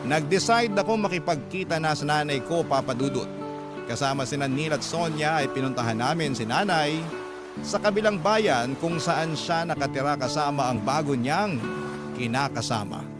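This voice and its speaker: A man's voice: on the high side, normal speed, lightly acted. A man narrating a letter in a radio drama, in a confessional storytelling manner. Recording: loud music underneath.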